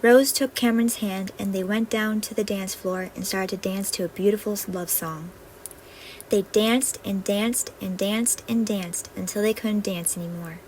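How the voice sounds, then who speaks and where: A woman's voice: mid-range voice, steady tone, normal speed, darkish tone. A woman storyteller reading a scripted fairy-tale narration. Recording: faintly noisy home recording.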